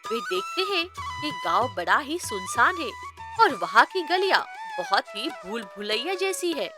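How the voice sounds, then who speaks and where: A woman's voice: high voice, wildly swooping pitch, measured pace. A woman narrating a cartoon story in a dramatic, scripted way. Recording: background music.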